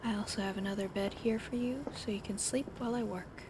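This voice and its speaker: A woman whispering an ASMR roleplay in a soft, soothing, coddling way over an audible fan hum. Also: medium pitch, fairly even intonation, fast talker.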